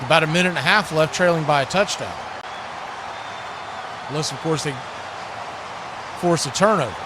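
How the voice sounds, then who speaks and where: A man with an American accent doing excitable live play-by-play commentary of American football. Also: a little high, moderate pace. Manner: excitable, calling action as it happens. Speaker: sports play-by-play announcer. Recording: clear background noise.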